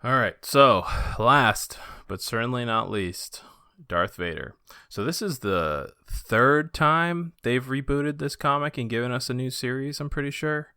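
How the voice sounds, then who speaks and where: A man's voice: mid-range voice, expressive, a little slow, sharp tone, clear, resonant tone, dry recording, studio quality. A man hosting a comics review podcast, talking in a casual, conversational way. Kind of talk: casual chat.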